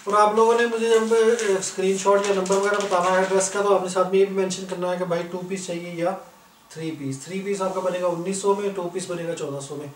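A man's voice: sits high, little pitch movement, a little fast. A man with a Pakistani accent giving an informal, explanatory product demonstration as a shop seller. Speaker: shop seller, video host. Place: indoor room, goods spread on floor. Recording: roomy, distant mic, faint noise.

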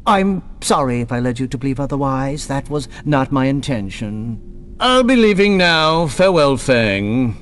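A man's voice: mid-range voice, very animated, a little slow, a bit bright, a little hiss or hum. A man playing a curt, disdainful vampire courtier in a video game, condescending and mock-polite.

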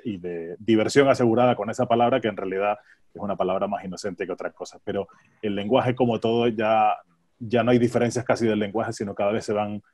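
A man's voice: lowish voice, darkish tone. A man in his forties with an accent from Spain, talking conversationally as a journalist on a video call and repeating words as he thinks aloud. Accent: Spanish from Spain. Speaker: radio journalist. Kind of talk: conversational panel discussion. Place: home study, on a video call. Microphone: earphone headset on a video call.

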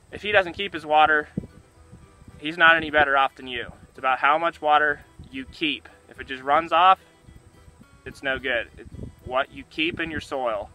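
A young man farmer speaks outdoors beside a pasture, earnest and plain-spoken, often restarting and repeating words. His voice is very bright and a touch high. Soft music plays underneath. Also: low-quality recording. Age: young adult. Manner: earnest, gestures a lot, repeats words as he goes. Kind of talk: casual talk to camera.

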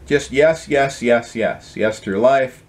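A man's voice: mid-range voice, slow, voice pushed up, natural voice. A man voicing a curious, persistent female chicken in a storybook, with a questioning, insistent manner. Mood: encouraging.

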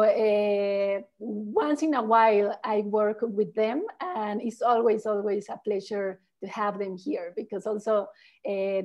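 A woman's voice: mid-range voice, a little mellow, dull audio. A woman from Mexico with a Mexican accent, a local tour guide answering questions on a virtual tour over a video call, explaining things in a friendly way and often tagging her sentences with "no?". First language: Spanish. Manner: friendly, explanatory, tags phrases with "no?".

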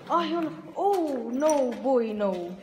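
A young woman talking nervously and excitedly while exploring a dark abandoned building. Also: high-pitched, slow. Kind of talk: casual chat while exploring. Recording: echoey, distant, very noisy phone audio.

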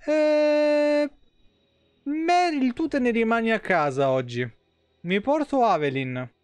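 A man's voice: extremely high pitch, animated, takes it slowly, clean tone, no echo. A man, giving casual, colloquial, joking live game commentary. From Italy. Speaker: game streamer. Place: small indoor streaming room.

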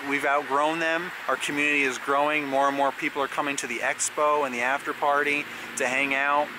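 Relaxed man talking outdoors in the woods. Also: mid-range voice, noisy background. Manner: relaxed, conversational. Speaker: event organizer. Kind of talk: casual vlog announcement. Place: wooded park, outdoors. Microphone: handheld camera close to the face.

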